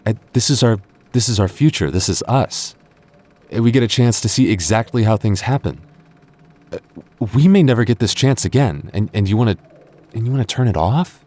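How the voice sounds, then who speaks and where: Deep-voiced, earnest young boyfriend played by a man. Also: lively intonation, darkish tone. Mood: pleading, agitated. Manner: intimate, halting, stammering. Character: devoted, earnest young boyfriend on a haunted adventure. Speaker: voice actor. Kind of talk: boyfriend ASMR audio roleplay.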